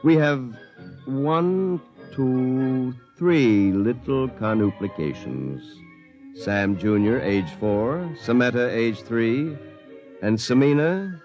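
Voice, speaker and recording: A man's voice: medium pitch, lively intonation, slow pace. An actor playing a smug, glib, theatrical man in 1950s radio drama. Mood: satisfied, confiding. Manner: theatrical, self-satisfied, glib. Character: smug, exuberant duplicate man, glib young lawyer. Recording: dull vintage radio recording, faint music.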